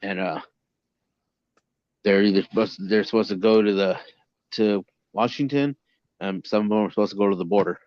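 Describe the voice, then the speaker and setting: A man co-hosting a casual online chat, talking in halting bursts that repeat words. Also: on the low side, dry recording, muffled recording. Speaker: co-host.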